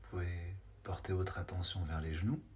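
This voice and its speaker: A man leads a guided body scan meditation. His voice sits low. He speaks in short, unhurried phrases, leaving space between them and sometimes hesitating before the next one. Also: level tone, darkish tone, slight background noise.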